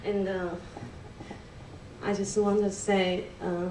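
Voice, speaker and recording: A middle-aged woman, a native Chinese speaker with a Chinese accent, gives a nervous, halting testimony in English with many fillers and restarts. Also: medium pitch, little pitch movement, noisy background. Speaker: church member giving a testimony. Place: large church hall indoors. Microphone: handheld mic, picked up from a distance. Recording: echoey hall recorded from far off.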